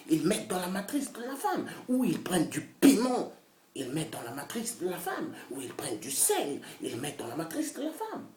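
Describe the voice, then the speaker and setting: A woman delivering an impassioned political rant, fiery and repetitive, swinging from loud outbursts to hushed whispers. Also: fairly low pitch. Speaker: political activist. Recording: roomy echo, voice far from the mic.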